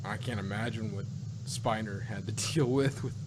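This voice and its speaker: Noisy recording of a rambling man chatting. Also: on the low side. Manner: halting, repeats himself, circles back mid-sentence. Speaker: podcast co-host reviewing audio drama. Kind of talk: casual rambling fan discussion. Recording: clearly noisy, humming background.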